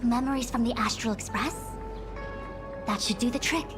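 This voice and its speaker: A woman's voice: fairly high pitch, steady tone, natural voice. Woman acting a composed, scholarly diviner over music. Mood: formal, inquiring. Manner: formal, measured, articulate. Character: composed, scholarly young female master diviner. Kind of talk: scripted video game dialogue. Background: background music.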